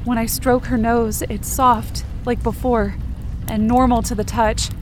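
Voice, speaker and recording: A woman's voice: fairly high pitch, little pitch movement, unhurried. A woman narrating first-person horror fiction in the present tense, with dramatic, urgent storytelling. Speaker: podcast writer and performer. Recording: loud sound effects and noise behind.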